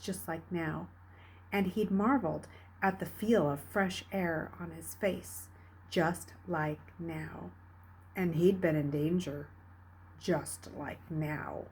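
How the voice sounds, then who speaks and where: A woman reading a novel aloud as a storyteller, with dramatic, expressive delivery. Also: lowish voice, breathy edge. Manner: dramatic, expressive, with hushed dips and emphatic rises. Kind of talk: read-aloud storytelling narration.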